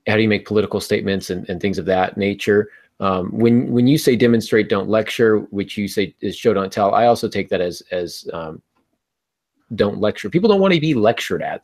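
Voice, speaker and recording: A middle-aged man hosting a casual podcast discussion about writing over a video call. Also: on the low side. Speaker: podcast host. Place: small home room, indoors. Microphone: earbud headset on a video call.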